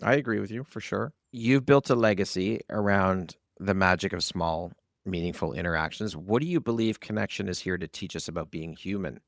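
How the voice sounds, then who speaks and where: A man's voice: deep voice, a little fast, creaky, no echo, studio quality. A man hosting a conversational interview podcast, asking questions and sharing his own views. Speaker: podcast host.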